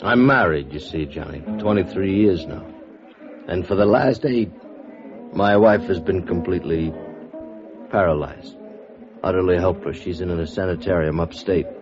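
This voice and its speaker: An actor playing a middle-aged, bitter, theatrical drunk with a florid, rambling way of talking, in 1950s radio drama style. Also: male voice, low voice, moderate pace, natural voice. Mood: bitter, confiding. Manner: tipsy, rambling, florid, chuckling. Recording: muffled old radio recording, music behind. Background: music.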